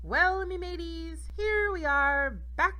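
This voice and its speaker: A woman's voice: very high-pitched, slow, pushed and bright, pitched above the performer's own voice, heavily acted. A woman voicing a weary old male tugboat captain in a storybook, grumbling in sailor talk. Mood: resigned.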